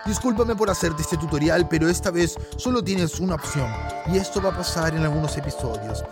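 A man's voice: on the high side, animated, fairly quick. A man narrating a scripted audio drama in a wry, playful, dramatic way, addressing the listener directly. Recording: loud music underneath.

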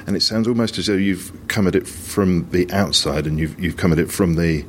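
A man presenting an on-location interview, speaking in a measured, wryly playful way. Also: sits low, slight background noise. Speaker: radio presenter.

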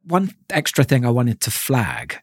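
A man co-hosting a podcast, discussing ideas casually and reflectively, feeling his way through halting phrases. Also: mid-range voice, huge pitch swings, husky, dry recording, clean studio sound. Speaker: podcast co-host.

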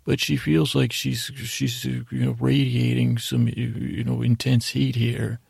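Male podcast host, very creaky fry, flat delivery, halting and meandering bedtime storytelling. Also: medium pitch, dry recording. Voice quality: gravelly, creaky voice. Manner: halting, meandering, trailing off and restarting mid-phrase. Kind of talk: rambling bedtime storytelling monologue.

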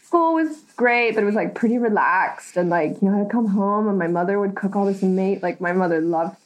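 A woman's voice: mid-range voice, a little fast, poor audio. Woman guest from Thailand, rambling and giggly, filler-heavy casual talk. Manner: rambling, laughs often, many fillers. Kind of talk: casual chat.

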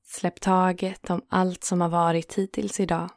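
A woman guiding a yoga nidra relaxation in a calm, soothing manner, leaving gentle pauses between phrases. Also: fairly low pitch, no room sound, clean studio sound. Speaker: meditation guide and host.

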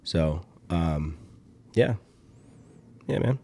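A young man with a slightly hoarse voice chatting casually as a podcast host, rambling and halting, with some casual swearing. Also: deep voice, lively intonation, dark tone. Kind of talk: casual chat.

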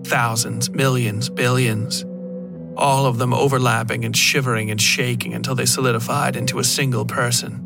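A man's voice: medium pitch, level tone, moderate pace, creaky. A man narrating scripted first-person horror fiction in a dramatic, intense manner. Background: music.

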